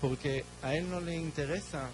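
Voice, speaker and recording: Israeli man preaching in short phrases. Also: a little high. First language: Hebrew. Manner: speaks in short phrases, pausing for the interpreter. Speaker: guest preacher. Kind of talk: sermon with live interpretation.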